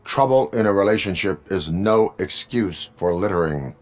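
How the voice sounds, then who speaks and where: An actor playing a stern cyborg police officer in a video game, speaking in a formal, measured, robotic deadpan. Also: male voice. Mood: stern, flat. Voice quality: deep, measured voice.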